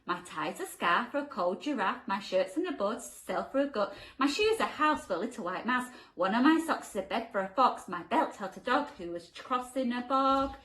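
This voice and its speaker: A woman's voice: on the high side, expressive, moderate pace, few pauses, fairly bright tone. A woman voicing a kind male giant from a children's storybook, hearty and bouncy, often sing-song. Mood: proud, sing-song. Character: kind, cheerful male giant, proud of his clothes.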